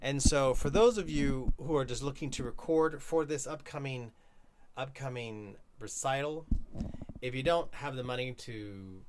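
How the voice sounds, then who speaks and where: A man with a baritone voice hosting a casual livestream lesson, chatting while he demonstrates microphones and moves closer to and away from them. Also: a little slow, noisy background. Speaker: cello teacher hosting a live stream. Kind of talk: casual livestream demo talk. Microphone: switches between several desk microphones.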